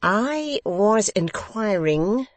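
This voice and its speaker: A reader voicing a pompous, condescending English gentleman host who talks slowly, loudly and overenunciates as if to a deaf child. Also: high-pitched, big pitch swings, no room sound, pitched above the performer's own voice, exaggerated voice.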